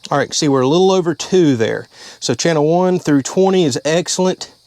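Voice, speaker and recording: A man's voice: on the high side, brisk, a little mellow, dry recording. A man, talking through a hands-on how-to in a casual, plain-spoken way from inside a vehicle. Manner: plain-spoken, step-by-step explaining. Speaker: channel host.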